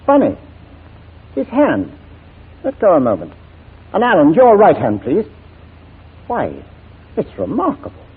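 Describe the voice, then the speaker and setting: An actor playing a blustery, easily flustered general in a 1940s radio drama, hemming and repeating himself with official authority. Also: male voice, high-pitched, wildly swooping pitch, measured pace, long pauses, husky, heavily acted. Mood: amazed. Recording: thin, phone-like 1940s radio recording.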